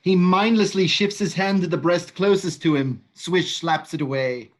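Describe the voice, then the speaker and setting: A man reading stage directions in an even, matter-of-fact way. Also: high voice, moderate pace.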